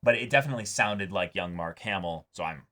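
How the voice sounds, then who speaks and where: A man's voice: low-pitched, brisk, bright, forceful tone. A man co-hosting a reaction show, casually discussing a TV episode in an enthusiastic, emphatic way.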